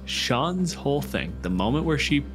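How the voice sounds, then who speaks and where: A man's voice: mid-range voice, big pitch swings, normal speed, music in the background. A man casually refereeing a tabletop game as the game master.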